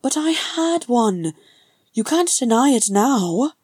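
A woman voicing a lively, teasing younger dwarf brother, chattering and exclamatory, in an audiobook-style story. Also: mid-range voice, extremely slow, no echo, studio quality. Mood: cheeky.

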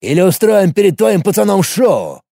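A crude, brutal gang boss who sneers and threatens, his dark, mellow voice rising a little at the cruel edges as the male narrator reads him. He sounds close and dry, for an audiobook. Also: high voice, very animated, moderate pace, creaky, no room sound, voice pushed up, full character voice. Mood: menacing. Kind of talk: audiobook character voice.

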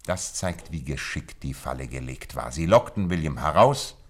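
Deep-voiced, shrewd gentleman detective. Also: expressive, normal speed. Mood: grave explaining. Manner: eloquent, methodical explaining with theatrical emphasis. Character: shrewd, self-assured gentleman detective, explaining his deductions. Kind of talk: German radio drama acting.

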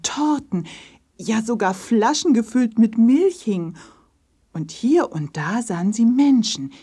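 A woman reads a children's story as narrator, measured and composed. Her voice is slightly low, dark and soft-edged, with a husky breathiness.

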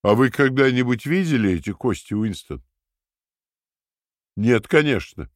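An actor playing a cruel, domineering party interrogator who lectures theatrically, swinging from hushed to emphatic, in an audio drama. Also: male voice, fairly high pitch, no room sound, clean studio sound. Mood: scornful, emphatic. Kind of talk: dramatic audio play dialogue.

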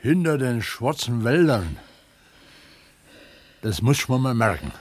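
An actor playing a modest, colloquial mine worker and amateur writer in a German radio drama from the 1970s. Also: male voice, mid-range voice, sing-song, slow pace. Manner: plain, colloquial, unassuming. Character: plain-spoken working-class miner, modest amateur writer and host.

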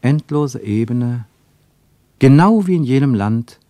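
Male narrator for literary radio drama: dark, relaxed tone, deliberate phrasing, dry studio sound. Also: medium pitch, dry recording.

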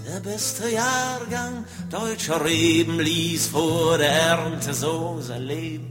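Guy half singing half reciting poems, slow n solemn, guitar behind, big reverb. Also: a little high. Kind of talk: sung and recited political poetry with guitar. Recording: long reverb, loud guitar accompaniment. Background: plucked guitar music.

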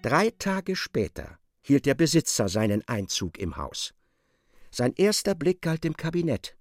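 A man giving expressive literary narration in a radio drama, as a storyteller. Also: medium pitch, measured pace, dry recording, studio quality, soft background music.

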